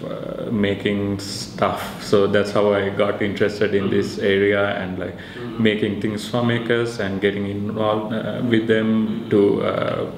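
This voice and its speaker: A man with a Sri Lankan accent explains his work in a hesitant, informal way. His voice is on the low side with very flat intonation, recorded in an echoey room. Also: slow pace, a crowd in the background. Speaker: researcher and maker presenting a project. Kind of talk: informal explanatory interview talk. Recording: echoey room with faint background noise.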